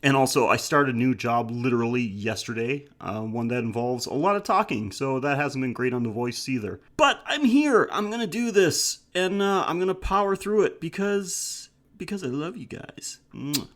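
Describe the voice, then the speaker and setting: A man's voice: medium pitch, animated, normal speed, hardly any pauses, fairly bright tone. A man hosting a podcast in a casual, chatty style, joking and self-deprecating, speaking through a head cold. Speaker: podcast host.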